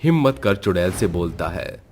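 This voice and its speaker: A man's voice: deep voice, lively intonation, moderate pace, darkish tone. A man narrating a scripted horror story as a storyteller.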